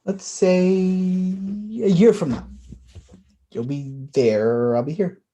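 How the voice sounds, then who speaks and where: A woman's voice: on the low side, takes it slowly, warm tone. A woman acting a mild, wistful, evasive young man in a staged play reading, in a casual, hesitant way. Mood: musing.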